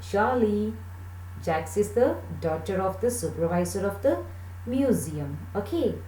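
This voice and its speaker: A woman in her thirties teaching an English lesson, speaking deliberately as if to a class of children. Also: medium pitch, big pitch swings, mellow. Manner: deliberate, checking questions to pupils. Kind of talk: English lesson for children, reading text aloud and explaining. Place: small plain room indoors. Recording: roomy echo with slight background noise.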